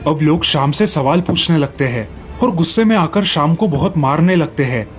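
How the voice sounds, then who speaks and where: Higher-pitched man narrating children's stories. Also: expressive, moderate pace, faint music behind.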